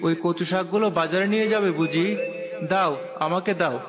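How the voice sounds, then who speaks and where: A man's voice: high-pitched, brisk, natural voice. A man voicing a wisecracking but helpful, easygoing village husband in a children's cartoon. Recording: long reverb, music behind.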